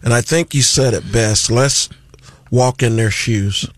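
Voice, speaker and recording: A man with a deep voice, a guest on a radio talk show, speaking plainly and deliberately. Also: no echo. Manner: slow, deliberate, plain-spoken. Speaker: guest, community activist. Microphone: studio microphone.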